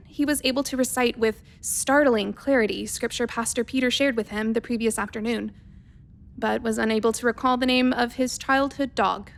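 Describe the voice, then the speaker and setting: A woman's voice: fairly high pitch, vocal fry. A woman playing a methodical, worried spaceship doctor dictating a research log in a radio play, clinical and precise but hesitant. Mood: clinical, wistful.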